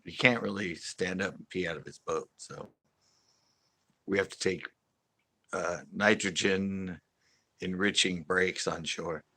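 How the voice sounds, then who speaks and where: A man with a deep, low voice talks casually on a live stream for his fishing channel. His tone is bright and forceful, and the sound is close and dry. He rambles, laughs often and circles back with fillers and restarts. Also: fairly even intonation, measured pace, pauses often, no room sound.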